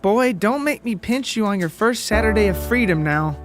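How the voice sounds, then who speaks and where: A man's voice: sits high, expressive, moderate pace, soft background music, voice pushed up. A man voicing a firm, no-nonsense mother in a narrated story, coaxing and bossy in a folksy way. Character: Penny, firm, no-nonsense mother waking her son.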